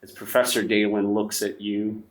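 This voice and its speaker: Low-voiced man narrating a horror game. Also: monotone. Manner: measured, storytelling narration with some restarts. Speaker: game master. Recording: echoey room, mic at a distance, faint music.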